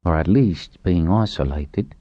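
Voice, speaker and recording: Storybook spokesman male reader: formal, dry, confident, very varied pitch, slightly dull recording. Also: wildly swooping pitch, a little mellow. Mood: dry authority. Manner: quick, dry formal authority. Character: brisk, confident male official addressing captives. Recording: roomy, dull muffled recording.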